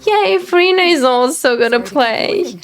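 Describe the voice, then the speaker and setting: Excitable woman streamer reacting to a game. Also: high voice, unhurried. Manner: excitable, gushing. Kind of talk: live game playthrough reaction commentary. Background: game music.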